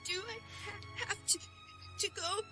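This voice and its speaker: Teeny sad filly pony, squeaky high, sticks on her words, soft music behind. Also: fairly even intonation. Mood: pleading. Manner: halting and pleading. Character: little filly pony, clingy and tearful.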